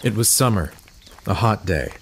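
An actor playing a reflective man recounting his past in a literary audio drama, measured and pausing between short phrases. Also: fairly low pitch, expressive, slight background noise, close to an everyday voice. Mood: reflective, uncertain.

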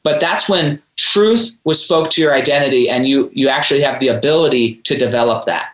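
A man's voice: medium pitch, brisk, a bit bright, echoey room, poor audio. A man hosting a podcast, talking earnestly and preachily, addressing his listeners directly. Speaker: podcast host. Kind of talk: motivational interview talk.

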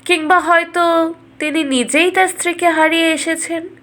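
A woman's voice: very high-pitched, steady tone, moderate pace, a bit bright, voice pushed up. A woman narrator voicing scornful male courtiers in a fairy tale, incredulous and mocking. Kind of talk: storybook narration with acted dialogue.

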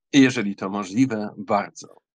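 A man playing a polite, ironic young German count in a dramatised audiobook, measured and wry. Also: fairly low pitch. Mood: curious, amused.

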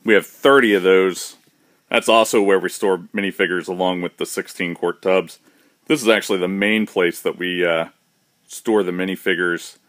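A man with a low, deep voice walks through a large indoor warehouse, talking in a relaxed, matter-of-fact way with plenty of "uh"s and restarts. He films on a handheld phone, and the sound is close and dry. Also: moderate pace, dry recording. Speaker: shop owner giving a warehouse tour. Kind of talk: casual walkthrough narration. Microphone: handheld phone camera, speaker behind it.

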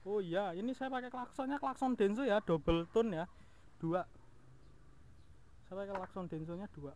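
A man's voice: high-pitched, moderate pace. A man talking casually and colloquially to viewers as a vlog host, recorded outdoors on an action camera. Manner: informal, colloquial, addressing the viewer. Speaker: motovlog host. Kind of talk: casual vlog walkaround of his motorbike. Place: outdoors in a house yard. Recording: outdoor action camera, clear background noise.

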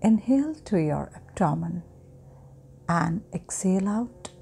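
A woman guiding a sleep hypnosis meditation in a soft, breathy, often near-whispering voice with long pauses. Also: on the low side, expressive. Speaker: guided meditation host. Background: meditation music.

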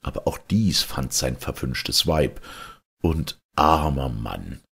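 A man reading a fairy tale aloud as a storyteller, in a theatrical, deliberate and dramatic manner. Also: a bass voice, expressive, creaky, fairly bright tone, slightly rough. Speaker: storyteller, reader.

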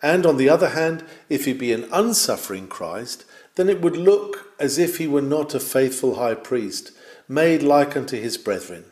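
A man reading a nineteenth-century sermon aloud, measured and emphatic, rising on his questions. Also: mid-range voice, expressive, a little mellow. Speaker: sermon reader. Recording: roomy echo.

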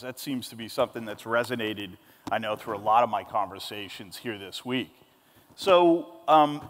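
A man's voice: mid-range voice, a little hiss or hum. Upbeat man moderating a panel. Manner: upbeat, emphatic, rhetorical. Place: large conference hall stage. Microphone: handheld mic. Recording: long hall reverb.

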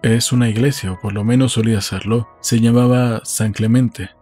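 A man playing an uneasy, wistful man in an audiobook, speaking in a slow, subdued way. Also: mid-range voice, steady tone, mellow, breathy edge, soft background music, lightly acted. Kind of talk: audiobook dialogue acting.

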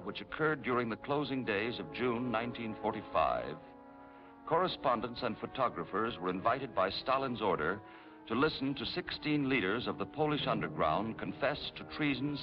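A man giving formal, measured documentary narration on an old 1950s film soundtrack. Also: mid-range voice, animated. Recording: dull old film soundtrack with faint music.

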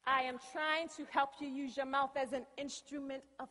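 A woman's voice: high-pitched, little pitch movement, moderate pace, pushed and bright. An actress playing a sassy, assertive, devout businesswoman in a comic stage play. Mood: challenging. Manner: sassy, firm, teasing. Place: large indoor theatre stage. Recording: echoey theatre stage, long reverb.

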